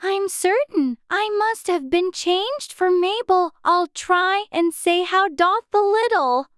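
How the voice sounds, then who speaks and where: Little storybook girl, extremely high voice, fretful, prim, chatty, close dry sound. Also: animated, slow pace, fairly bright tone, no room sound, exaggerated voice. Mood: muddled, trying. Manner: fretful, talking to herself in prim childish exclamations. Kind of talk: dramatized audiobook character acting.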